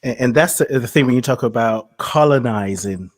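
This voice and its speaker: A man speaking in a measured, reflective way about spiritual practice, laughing easily between thoughts. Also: medium pitch.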